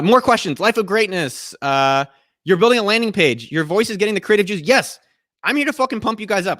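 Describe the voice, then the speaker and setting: A man in his thirties hosting a casual live Q&A from a small room, giving a profane, energetic pep talk to his viewers. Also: sits high, no echo, clean studio sound. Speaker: host answering viewer questions. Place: small indoor room at home.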